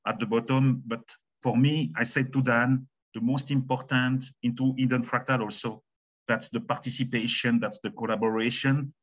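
A man from Switzerland with a Swiss French accent, co-hosting an online meeting in halting English and often repeating words. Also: medium pitch, highs cut off. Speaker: community meeting co-host. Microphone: video call.

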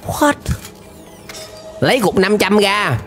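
A man's voice: high-pitched, takes it slowly. A young man with a southern Vietnamese accent giving casual, exclaiming commentary on a cartoon as he watches it. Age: young adult. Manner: animated, exclaiming. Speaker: reaction streamer. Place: indoor streaming room. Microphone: close desk microphone, headset on. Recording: music playing behind the voice.

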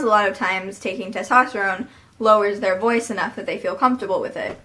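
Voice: mid-range voice, pushed and bright. A young adult vlogger talking straight to a webcam in a small bedroom, earnest and reflective, thinking aloud with plenty of fillers. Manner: earnest and reflective, many fillers, talks with hands. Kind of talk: casual talking-to-camera vlog. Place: small bedroom with posters, indoors.

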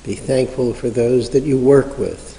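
Guy doing guided prayer meditation, soft dark tone, measured w long pauses, lots of reverb. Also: medium pitch, fairly even intonation, creaky. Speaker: meditation leader. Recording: reverberant hall sound.

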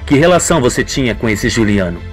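A man's voice: mid-range voice, very animated, fast talker, soft background music, voice pushed down, lightly acted. A man playing a formal, probing police investigator in an audio soap opera. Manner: formal, questioning, dry.

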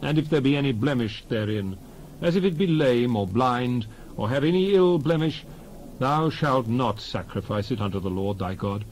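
A man giving a solemn, declamatory dramatized reading of scripture in measured phrases. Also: mid-range voice, lively intonation, darkish tone, a little hiss or hum, poor audio. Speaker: Bible reader.

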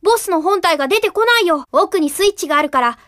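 Game role: helpful young boy fox guide, very high and quick, upbeat, recorded close and dry. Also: animated, a bit bright, dry recording. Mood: reassuring, urging. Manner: friendly, clear step-by-step explaining, reassuring. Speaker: tutorial guide. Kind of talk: scripted video game hint narration.

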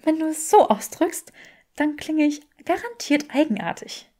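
A woman voicing an earnest, sharp-witted, bookish young woman in an audiobook, prim and mock-serious. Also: sits high, very animated, moderate pace, pitched above the performer's own voice. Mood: prim teasing.

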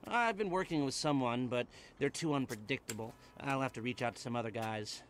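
An actor voicing a nerdy, methodical heist planner in a video game, soft-spoken and dry with hesitant fillers. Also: male voice, mid-range voice, a little fast, noisy background, natural voice. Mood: explaining.

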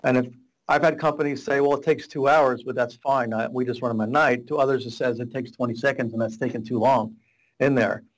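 A man's voice: medium pitch, steady tone, fairly quick, poor audio. Middle-aged man explaining on a video call. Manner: rambling, restarts, gestures a lot while explaining. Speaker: guest, data warehouse architect. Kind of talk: casual explanatory interview. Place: small office room with whiteboard. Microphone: video call webcam.

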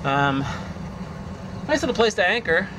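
A man's voice: high voice, very animated, unhurried, noisy background. A man in his forties giving casual, understated vlog commentary outdoors on a sailboat, muttering brief asides into a nearby camera. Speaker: solo sailor vlogging. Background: music.

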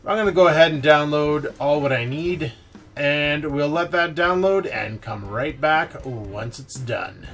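A man's voice: fairly high pitch, measured pace, fairly bright tone, faint music behind. A man hosting a casual screen-recorded tech tutorial, talking informally with slang and mild swearing. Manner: informal, slangy and mildly profane. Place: indoor voice-over of a screen recording.

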